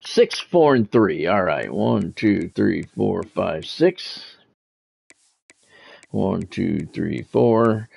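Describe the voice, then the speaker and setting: A man's voice: deep voice, animated, drawn-out words, gravelly, darkish tone. A man giving casual live video game commentary with sportscast touches, talking conversationally and thinking aloud. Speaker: video game streamer and play-by-play announcer.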